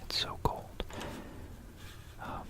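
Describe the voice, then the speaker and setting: A person whispering an informal, musing history talk for ASMR, correcting themselves along the way, close to a stereo microphone. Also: very slow. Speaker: ASMR video creator. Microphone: close stereo binaural microphone.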